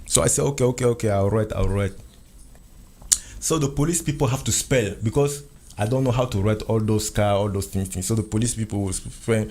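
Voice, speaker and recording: A man's voice: on the low side, fairly quick, darkish tone. A man whose first language is French, telling his story in English in an earnest way, often repeating and restarting phrases. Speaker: guest. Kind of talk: recounting a personal ordeal in conversation.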